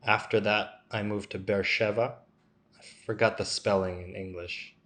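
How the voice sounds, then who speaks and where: Man, lowish voice, interpreter voicing a guest, measured and unhurried, interview answers. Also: fairly even intonation, fairly bright tone. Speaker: interpreter voicing a signing artist.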